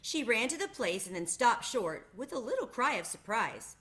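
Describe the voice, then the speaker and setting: A woman narrates a children's book aloud in an expressive storytelling manner. Her voice is bright and clear. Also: a little high, moderate pace. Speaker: reader.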